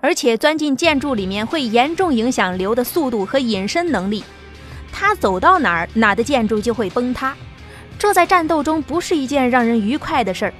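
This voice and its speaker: Woman narrating a sci-fi drama, high voice, steady pace, faint music under. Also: moderate pace. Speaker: audiobook narrator.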